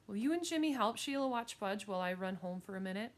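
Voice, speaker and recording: A woman's voice: mid-range voice, expressive, fast, voice pushed up, lightly acted. A woman voicing a harried, affectionate, anxious mother in a children's audiobook, fretful and coaxing. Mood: hesitant.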